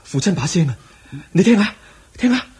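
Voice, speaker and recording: A man's voice: sits high, big pitch swings, moderate pace. A man acting a young exiled prince bent on avenging his father, declamatory and emotional, in a radio drama. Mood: anguished, pleading. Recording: echoey radio drama recording.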